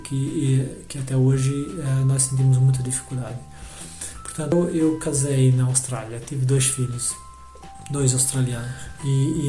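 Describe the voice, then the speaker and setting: Halting, mellow-voiced Brazilian man. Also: mid-range voice. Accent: Brazilian Portuguese with some European Portuguese. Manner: halting, many pauses and repeated words. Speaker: emigrant telling his story. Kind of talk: reflective personal testimony. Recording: echoey room with faint music.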